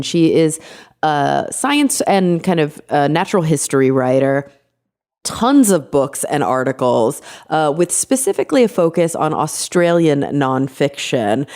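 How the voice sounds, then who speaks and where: A woman's voice: low-pitched, creaky, a little mellow. A woman hosting a podcast in a chatty, informal, conversational way, sounding slightly congested from a recent illness. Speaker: podcast host. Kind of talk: conversational podcast introduction and interview.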